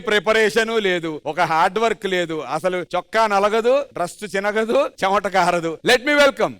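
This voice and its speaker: A man hosting a television show on stage, announcing with energy and drawn-out emphasis. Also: sits high, a bit bright, smooth. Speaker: TV show host. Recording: TV stage with music behind.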